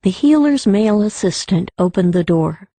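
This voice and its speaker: A woman reading scripted story narration for an audiobook in a measured way. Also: mid-range voice, lively intonation, mellow, no room sound.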